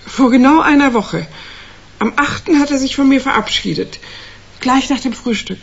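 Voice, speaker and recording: An anxious, plain woman of around sixty in a radio crime play. The voice is raspy and creaky, earnest and hesitant, correcting itself, on a slightly dull recording. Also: sits high, normal speed, heavily acted. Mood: worried, earnest. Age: older.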